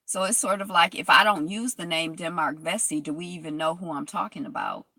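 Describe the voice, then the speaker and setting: Gravelly, bright-voiced woman vlogger. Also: fairly low pitch, brisk, no echo. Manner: rambling, reflective, with many fillers and restarts. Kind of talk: reading from a history text and commenting aloud.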